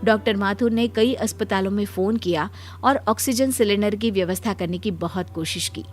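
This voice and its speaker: A woman's voice: mid-range voice, normal speed, darkish tone, soft background music. A woman reading scripted narration as a storyteller.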